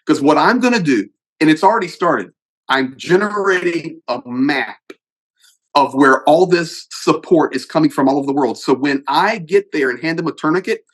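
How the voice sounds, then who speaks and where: A man's voice: fairly high pitch, lively intonation, normal speed, a bit bright, slightly rough, rough recording. A middle-aged man who hosts a channel and works as a pastor and aid volunteer, making an earnest, emphatic appeal to viewers. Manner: earnest, emphatic, pushes on through restarts. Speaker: host.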